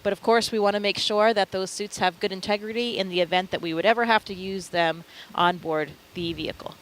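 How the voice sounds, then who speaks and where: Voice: mid-range voice, fairly even intonation, brisk, fairly bright tone, low-quality recording. A launch broadcast commentator who speaks Swedish, explaining the crew's suit checks and walkout with enthusiasm.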